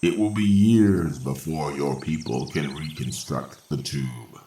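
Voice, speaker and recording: A man's voice: rumbling low, takes it slowly, mellow, full character voice. A man playing a cold, formal, indifferent robot in an old-time radio sci-fi drama. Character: cold, unfeeling robot in command of the underground war. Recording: echoey with faint noise.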